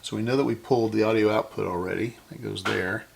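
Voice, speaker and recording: Low-voiced man with a gravelly edge. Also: fairly even intonation, fast, slight background noise. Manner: unscripted, talking to himself while working. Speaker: host of a vintage electronics repair channel. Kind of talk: casual hands-on repair demonstration. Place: indoor electronics workbench.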